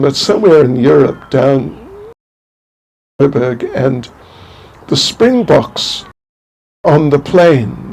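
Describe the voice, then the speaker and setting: Slow, gravelly older man giving a talk. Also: mid-range voice, a little mellow, slight background noise, rough recording. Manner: halting, rambling, with many restarts; chuckles to himself. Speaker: spiritual teacher. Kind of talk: informal spiritual talk.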